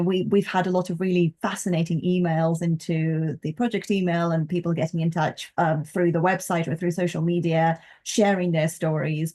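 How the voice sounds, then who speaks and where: Young woman, slightly low voice, reflective conversation, articulate, thoughtful, feeling for words. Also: warm tone. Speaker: postdoctoral folklore researcher and co-host.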